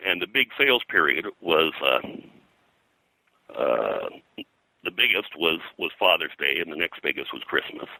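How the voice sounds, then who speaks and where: An older American man reminiscing as an interview guest, in a halting, rambling way. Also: rumbling low, very animated, creaky, bright, forceful tone, dry recording, poor audio. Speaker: guest, comics colorist and publisher.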